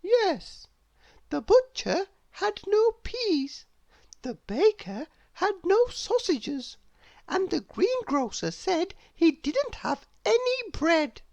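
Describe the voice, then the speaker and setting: A woman's voice: very high-pitched, sing-song, takes it slowly, creaky, a little mellow, no echo, lo-fi sound, voice pushed up, full character voice. A woman voicing a sweet, naive, kind-hearted young princess from a storybook, earnest and dainty. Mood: explaining.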